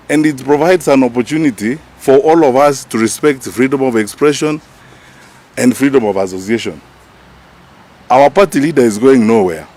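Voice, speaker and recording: A man's voice: medium pitch, darkish tone. A man with a Kenyan accent speaking off the cuff as a party official at a press conference, emphatic and indignant, often putting rhetorical questions.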